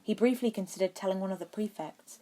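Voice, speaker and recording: A woman's voice: mid-range voice, fast, warm tone, slight background noise. A woman narrating a scripted story as an amateur audiobook reader.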